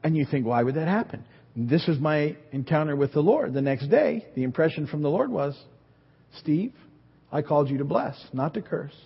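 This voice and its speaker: A man's voice: on the high side, animated, normal speed, gravelly, husky. A man in his fifties preaching a sermon, telling a story about himself with self-deprecating humor. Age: 50s. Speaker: pastor. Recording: dull sound in a reverberant hall.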